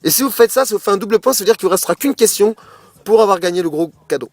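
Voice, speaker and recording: High-voiced boisterous male prank-call host. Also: lively intonation, rapid-fire. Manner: boisterous, pushy, hyped-up exhortations. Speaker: prank caller posing as radio game show host. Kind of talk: improvised radio game show patter.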